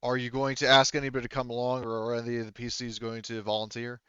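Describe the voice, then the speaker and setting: A man running a tabletop roleplaying game as game master, talking haltingly as he thinks aloud. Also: medium pitch, completely flat delivery, bright, forceful tone, smooth, no echo, dull audio.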